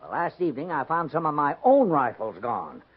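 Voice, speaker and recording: An actor playing a middle-aged, blustering and suspicious agency head, pompous and insistent, in a 1950s radio drama. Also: male voice, fairly high pitch, sing-song, moderate pace. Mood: insistent, uneasy. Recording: tinny, lightly noisy 1950s radio recording.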